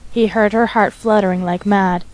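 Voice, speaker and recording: A woman's voice: mid-range voice, steady tone, moderate pace, poor audio. A woman reading scripted fiction as the narrator, in a dramatic storytelling style.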